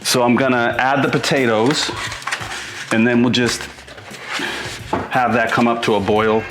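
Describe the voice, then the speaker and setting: A man's voice: medium pitch, flat delivery, a little slow, noisy background. A middle-aged American man from Boston hosting a home cooking show, talking informally and thinking aloud. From Boston, USA. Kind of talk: casual cooking demonstration. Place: indoors in a home kitchen. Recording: roomy echo with music behind. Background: music.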